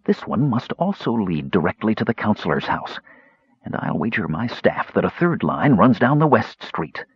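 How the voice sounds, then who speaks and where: A man playing a terse, imperious mage in a fantasy storybook, speaking with quiet, deliberate intensity. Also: on the low side, big pitch swings, husky, no echo, muffled recording, heavily acted. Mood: quietly intense.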